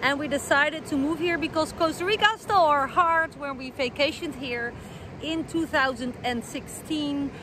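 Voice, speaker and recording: A woman's voice: high-pitched, moderate pace. A middle-aged woman from the Netherlands with a Dutch accent, chatting casually to the camera outdoors by the ocean, with plenty of fillers as she searches for words. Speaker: vlogger. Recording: noisy outdoor recording.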